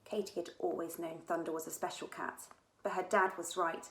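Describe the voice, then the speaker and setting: A woman author reading her own children's adventure book aloud in an animated storytelling style. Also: fairly low pitch, normal speed. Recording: echoey room.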